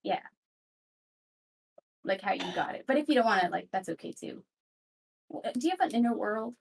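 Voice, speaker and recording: A woman voicing a gentle, curious fighter in a casual tabletop roleplay, speaking hesitantly and considerately. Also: mid-range voice, lively intonation, moderate pace, gravelly, a little hiss or hum.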